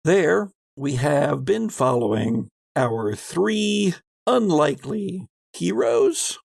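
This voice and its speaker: A man hosting as game master, narrating a dramatic recap of a tabletop horror game in measured, theatrical phrasing. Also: on the high side, big pitch swings, gravelly, breathy edge, no room sound, studio quality. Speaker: game master and podcast host.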